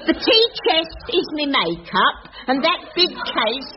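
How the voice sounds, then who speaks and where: A woman's voice: high-pitched, very animated, slow pace, full character voice. A woman playing a cheeky, dramatic older cleaner, drawling and sardonic. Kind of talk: 1980s radio sitcom acting. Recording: dull old radio recording with hiss.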